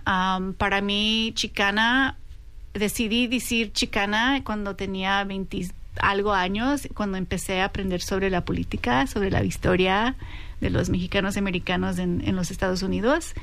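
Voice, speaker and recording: A woman's voice: mid-range voice, normal speed. A woman guest on a radio panel who identifies as Chicana, slipping English phrases into her speech. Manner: mixes in English phrases, lively.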